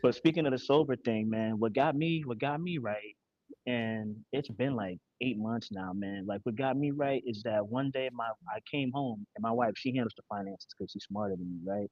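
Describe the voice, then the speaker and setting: A man's voice: mid-range voice, moderate pace, a little mellow, highs cut off. Candid, profane man in his forties. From Connecticut, USA. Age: 40s. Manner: candid, profane, street slang. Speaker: guest. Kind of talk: casual podcast chat.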